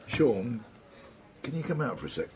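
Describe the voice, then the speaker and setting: An actor playing a wry, patient senior police detective, teasing and deliberate, in a naturalistic radio drama. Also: male voice, mid-range voice, big pitch swings, a little mellow, natural voice. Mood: firm. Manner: teasing, deliberate, drip-feeding explanations.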